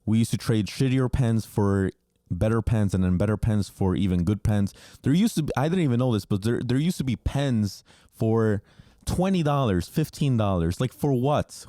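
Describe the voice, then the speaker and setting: A man's voice: medium pitch, normal speed, mellow, dry recording, studio quality. A man in his twenties, a native Spanish speaker, hosting a podcast and telling stories from his childhood in a loose, candid way, with frequent ums and casual swearing. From New Jersey, United States. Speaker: podcast host. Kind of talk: casual solo storytelling.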